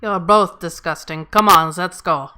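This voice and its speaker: A woman's voice: on the low side, measured pace, bright, forceful tone, clear, resonant tone. A woman playing a tough, gung-ho spaceship officer with clipped grammar in a sci-fi comedy read. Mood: tough, scornful. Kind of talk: live table read of sci-fi comedy script.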